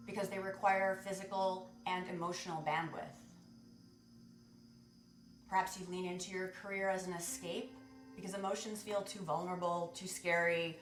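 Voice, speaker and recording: A woman hosting a guided meditation, speaking to listeners in a measured, instructive way as she gives spiritual guidance. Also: lowish voice. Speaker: guided meditation and sound bath host. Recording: roomy echo with music. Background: music.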